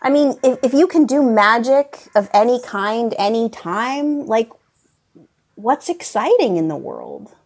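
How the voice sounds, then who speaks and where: A woman's voice: fairly high pitch, very animated, a little mellow, husky, full character voice. Woman playing a weary, cynical young witch student. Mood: jaded, questioning. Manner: weary, dry, deliberate. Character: jaded, cynical young female magic student. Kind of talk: improvised comedy sketch.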